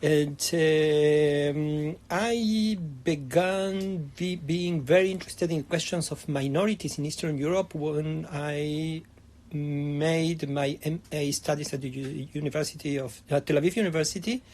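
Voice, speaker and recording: A man's voice: fairly high pitch, fairly even intonation, unhurried, darkish tone. A middle-aged man from Argentina, a history professor answering interview questions in a hesitant way, with many fillers and restarts. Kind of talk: academic interview.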